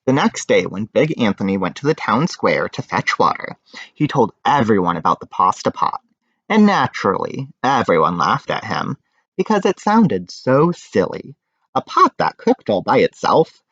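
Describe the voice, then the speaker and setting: A man's voice: lowish voice, moderate pace. A man reading a children's picture book aloud, telling it expressively with casual asides. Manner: expressive storytelling. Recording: muffled, echoey room recording.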